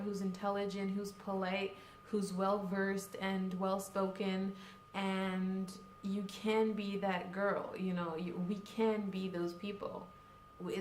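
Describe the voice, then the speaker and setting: A woman's voice: mid-range voice, a little hiss or hum. Chatty young woman in an echoey room. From Cameroon. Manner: chatty, lots of fillers like "like" and "you know". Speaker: vlogger. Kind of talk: casual vlog chat.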